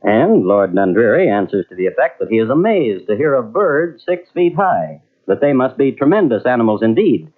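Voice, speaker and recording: A man narrating an old radio mystery in a measured, wry storytelling manner. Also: mid-range voice, big pitch swings. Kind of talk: scripted narration. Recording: tinny old radio transfer from cassette.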